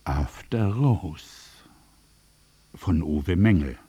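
A man's voice: low-pitched, lively intonation, very slow, warm tone, husky, muffled recording. A man announcing radio drama titles and credits from a script.

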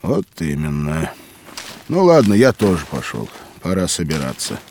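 An actor playing a hearty, hospitable mountaineer in an audio drama for children, speaking expressively. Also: male voice, a bass voice, wildly swooping pitch, normal speed, darkish tone, a little hiss or hum, full character voice. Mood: earnest, animated. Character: hearty hospitable mountaineer by a campfire below Everest. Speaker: mountaineer character.

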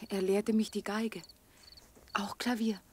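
Woman as a soft, wistful young woman confiding. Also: medium pitch, flat delivery, takes it slowly, close to an everyday voice. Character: lonely, vulnerable young woman, wistful and trusting. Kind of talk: German radio drama acting. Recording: faint night insect noise behind. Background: crickets.

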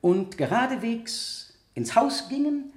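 Male examiner, radio drama. Methodical, insistent, courteous, with animated pitch in a roomy acoustic. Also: heavily acted. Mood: insistent, pressing. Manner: deliberate, insistent, formally polite questioning. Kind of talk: 1970 German radio play acting.

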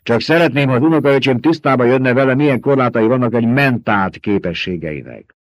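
A man voicing a scheming, gluttonous older baron in an audiobook, mocking and theatrical, with booming emphasis, in a dry, close recording. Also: expressive, dry recording, close to an everyday voice. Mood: lecturing. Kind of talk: audiobook character voice.

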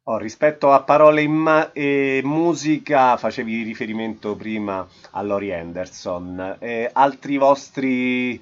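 A man's voice: mid-range voice, unhurried, few pauses. A man interviewing a guest casually, asking questions in a hesitant, attentive way. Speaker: radio interviewer. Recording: slightly dull, lo-fi radio audio.

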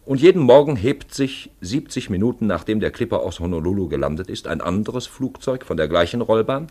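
A man's voice: fairly low pitch, animated, fairly quick, mellow. A man acting as a storyteller, narrating a literary radio play in a lyrical, dramatic manner. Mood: poetic, dramatic. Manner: dramatic, lyrical, swelling and dropping away.

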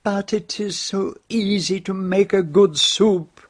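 An actor playing a courteous, shrewd Belgian detective with a French-speaking accent, formal and measured, in a radio drama. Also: male voice, high-pitched, fairly even intonation. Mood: measured, probing. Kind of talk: radio drama acting and narration.

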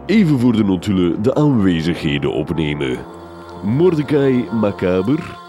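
Pompous, deep, theatrical villainous count. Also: male voice, very animated, moderate pace, mellow, faint music behind. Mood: officious. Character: pompous mad-scientist count chairing a villainous secret society. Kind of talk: gothic horror comedy radio drama.

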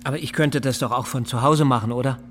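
An actor playing an anxious hospital patient in his early forties in a radio drama, halting and downplaying his worry. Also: mid-range voice, fairly even intonation, a little hiss or hum, close to an everyday voice. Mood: reassuring, impatient. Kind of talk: German radio drama acting.

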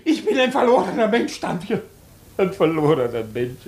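An actor in a German radio play playing a wheedling, self-pitying swindler who pleads and justifies himself theatrically. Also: male voice, high-pitched, very animated, moderate pace, a little mellow, slight background noise. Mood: pleading, self-pitying.

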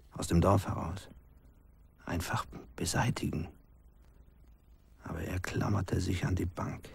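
An actor playing a grave village man who recounts a haunting past in a hushed, halting radio drama narration, his husky voice often sinking to a whisper. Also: sits low, no room sound, full character voice. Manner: hushed, halting storytelling in short sentences.

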